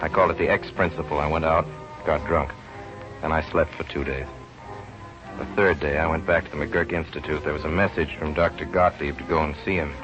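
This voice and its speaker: An actor playing an earnest, driven young research scientist, with intense delivery in an old radio drama. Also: male voice, extremely low pitch, fairly even intonation, a little fast. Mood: intent narration. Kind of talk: 1930s-40s radio drama acting and first-person narration. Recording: muffled old radio recording with music behind.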